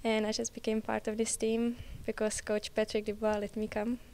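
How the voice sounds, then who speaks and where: A woman's voice: fairly high pitch, completely flat delivery, normal speed, darkish tone. A woman in her early twenties with a Czech accent, a college tennis player answering interview questions in non-native speech. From Czech Republic. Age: early 20s.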